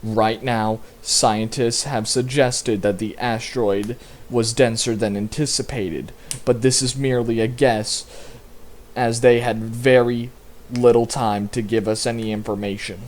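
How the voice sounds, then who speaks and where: A man playing a grave government spokesman reading a formal, measured emergency announcement about a disaster. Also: medium pitch, darkish tone, lightly acted. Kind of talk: scripted emergency broadcast announcement.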